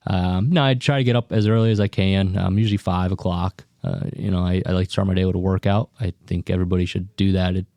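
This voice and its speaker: A man's voice: sits low, fairly even intonation, quick pace, hardly any pauses, mellow, no echo, clean studio sound. A young man, an equipment sales representative, chatting casually on a podcast, joking often with lots of fillers.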